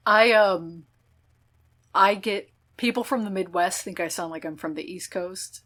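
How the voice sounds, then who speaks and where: A woman's voice: lowish voice, measured pace, fairly bright tone. A woman from Michigan co-hosting a casual movie podcast, talking in loose, rambling sentences full of fillers and the odd swear. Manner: chatty and profane, lots of fillers. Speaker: podcast co-host. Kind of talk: casual film podcast chat.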